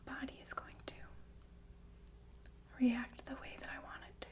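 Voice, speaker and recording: Whispering sinister killer nurse played by a woman. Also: lightly acted. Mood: softly menacing. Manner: unhurried, hesitant, softly matter-of-fact about death. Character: calm, sinister killer nurse, polite and chillingly chatty. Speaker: ASMR performer. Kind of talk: whispered ASMR roleplay monologue.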